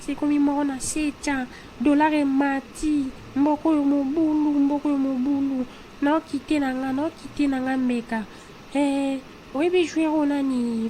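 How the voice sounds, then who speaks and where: A woman's voice: high-pitched, dark tone, slight background noise, poor audio. A woman with a Congolese accent playing a gossipy cartoon character. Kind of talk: animated cartoon dialogue in Lingala and French.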